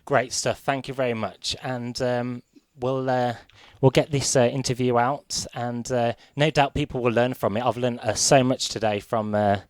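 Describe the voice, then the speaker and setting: A man hosting a casual radio interview, asking questions in a hesitant, halting way. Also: mid-range voice, steady tone, no echo. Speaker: radio presenter interviewing a guest.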